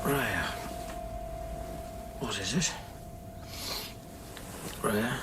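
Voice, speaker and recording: An actor playing a brooding, guilt-ridden man in a radio drama, with a measured, intimate and hushed delivery. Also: lowish voice, heavy background noise. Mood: soothing, anxious. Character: brooding, guilty man soothing his troubled lover. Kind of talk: radio drama acting with introspective narration.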